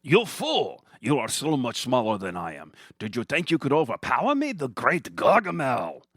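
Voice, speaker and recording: A man puts on a menacing villain wizard for a comic horror tale. He rants pompously and gloats, with big swoops in pitch and a very dry, close sound. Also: wildly swooping pitch, moderate pace, fairly bright tone, slightly rough, no room sound, full character voice. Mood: gloating menace. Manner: pompous, ranting.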